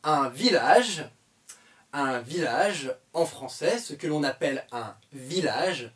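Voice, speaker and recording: A man from France teaches French with a slow, deliberate pace and a voice that rises and falls a lot. He keeps repeating key phrases patiently, dotted with "hein" and "euh", pitched a little high for a man. The voice sits somewhat away from the microphone. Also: animated, a bit bright, distant mic. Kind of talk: slow explanatory language lesson.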